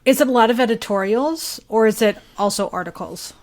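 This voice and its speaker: A woman's voice: a little high, fairly quick, darkish tone. A woman guest on a podcast, talking casually and very informally. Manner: informal, filler-heavy talk peppered with "like". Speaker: podcast guest, local journalist.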